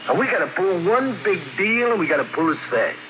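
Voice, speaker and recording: Slangy crook sidekick, bit high pitched, earnest, noisy old radio recording. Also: male voice, big pitch swings, fairly quick, muffled recording. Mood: earnest calculating. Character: eager uneducated crook sidekick who figures sums. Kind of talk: old-time radio crime drama acting.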